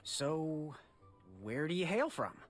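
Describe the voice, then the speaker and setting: An actor playing a friendly, eager young would-be knight in a fantasy game, polite and a little awkward. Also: male voice, a little high, very animated, takes it slowly, faint music behind, exaggerated voice. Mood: cheerful, curious. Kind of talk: animated video game acting.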